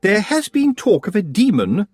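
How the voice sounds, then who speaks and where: A man's voice: high voice, huge pitch swings, normal speed, a little mellow, breathy edge, no room sound, studio quality, soft background music, voice pushed up, full character voice. A man voicing a prim, falsely sweet middle-aged witch who speaks with sugary politeness. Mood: honeyed.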